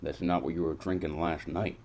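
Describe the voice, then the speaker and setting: An actor playing a world-weary private detective in noir radio drama style, gruff and sardonic, talking in clipped tough-guy slang. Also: very deep voice, fairly even intonation, fairly quick, darkish tone, a little hiss or hum.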